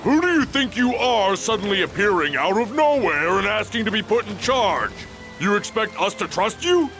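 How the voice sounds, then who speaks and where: An actor playing a hot-headed, impatient warrior who speaks brashly. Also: male voice, high-pitched, sing-song, normal speed, full character voice, low-quality recording. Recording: game audio with loud music.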